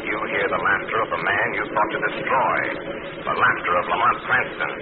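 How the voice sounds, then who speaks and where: A man voices a theatrical phantom avenger of 1940s radio, taunting and stern. The voice sits fairly low. It comes through a thin, tinny band with loud noise all over it. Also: a little fast, lightly acted. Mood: sinister taunting. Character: mocking, accusing male phantom avenger confronting a killer. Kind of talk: 1940s radio drama acting. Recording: very noisy, narrow-band vintage radio recording.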